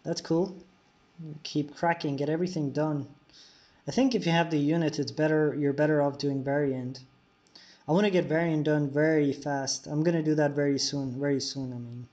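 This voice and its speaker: Casual male game streamer, slightly high voice. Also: fairly even intonation, moderate pace, a little mellow. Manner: casual, answers chat while playing, frequent fillers. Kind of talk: live-stream commentary while playing. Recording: dull lo-fi recording.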